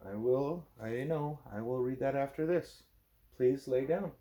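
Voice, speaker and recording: A man, a parent, reading a book aloud expressively as a bedtime story, with a wry, deadpan manner. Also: mid-range voice, a little slow.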